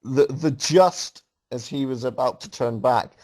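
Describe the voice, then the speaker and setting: A man's voice: medium pitch, measured pace, darkish tone. A man reading a story aloud to a group as host, reacting casually and often amused as he goes. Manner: amused, breaking into laughter while reading.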